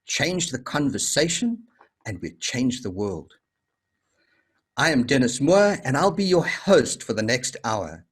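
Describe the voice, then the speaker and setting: Slow, lively-voiced male host. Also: mid-range voice, breathy edge. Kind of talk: hosting an online speakers meeting. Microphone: video call.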